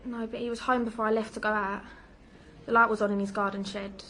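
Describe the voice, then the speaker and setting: An actress playing an anxious young daughter and crime victim in a drama, speaking in a halting, apologetic, pleading way. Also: female voice, medium pitch, little pitch movement, fairly bright tone, a little hiss or hum, lightly acted. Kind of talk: naturalistic crime drama dialogue.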